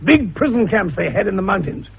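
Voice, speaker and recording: A man's voice: high-pitched, big pitch swings, a little fast, vocal fry, a little hiss or hum, heavily acted. A man playing a jovial, shrewd older British doctor turned detective in 1950s radio drama, avuncular, folksy and teasing. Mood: explaining triumphantly. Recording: dull vintage radio recording.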